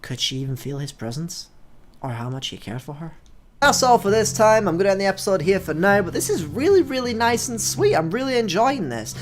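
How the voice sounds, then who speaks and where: A let's play host with an expressive storytelling delivery, speaking to viewers. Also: medium pitch, brisk, a crowd in the background. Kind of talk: expressive story narration, read aloud. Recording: music playing behind the voice. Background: background music.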